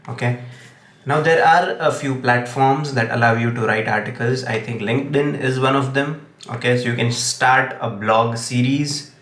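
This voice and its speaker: A man's voice: medium pitch, fairly even intonation, normal speed, fairly bright tone. A young man, an English teacher whose first language is Gujarati, speaks with an Indian English accent and gives earnest tips, often saying "okay". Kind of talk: direct-to-camera tips video. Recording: echoey room.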